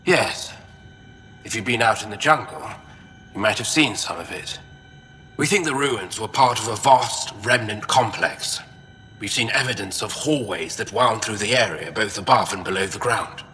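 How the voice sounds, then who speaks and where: A man's voice: fairly low pitch, normal speed, sharp tone, a little hiss or hum. An actor playing a fussy, irritable male alien historian in a video game, curt and sardonic. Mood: dry, explanatory. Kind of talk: video game dialogue acting.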